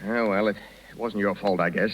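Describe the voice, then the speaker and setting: An actor playing an impatient, grumbling Hollywood casting director in a 1930s radio sketch. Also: male voice, fairly low pitch, fairly even intonation, brisk, slight background noise, lightly acted. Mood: grudging. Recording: dull 1930s radio recording.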